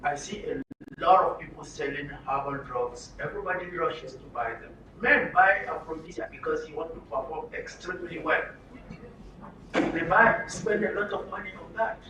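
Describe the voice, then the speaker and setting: Nigerian male trainer, emphatic and questioning, very sharp forceful tone, roomy distant recording. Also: fairly high pitch, normal speed. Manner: emphatic, questioning the listeners with rhetorical tags. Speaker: speaker at a training session. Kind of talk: interactive lecture to an audience. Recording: roomy, distant mic with background noise.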